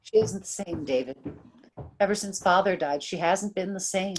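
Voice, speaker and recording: A woman playing a worried, gentle young daughter in an old-time radio drama, earnest and melodramatic. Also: on the low side, moderate pace, a little hiss or hum, close to an everyday voice, poor audio. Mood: sad concern.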